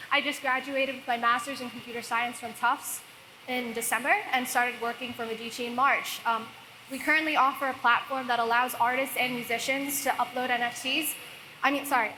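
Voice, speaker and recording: A woman in her twenties from Hong Kong, a software engineer talking casually on a conference panel. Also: high-pitched, a little hiss or hum, low-quality recording. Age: 20s. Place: conference hall.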